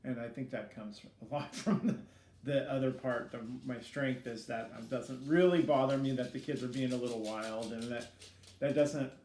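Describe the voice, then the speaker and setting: A man's voice: medium pitch, steady tone, darkish tone. Middle-aged male interviewee, hesitant and reflective, casual delivery; echoey room, faint background noise. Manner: hesitant, halting, self-critical. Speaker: student teacher being interviewed.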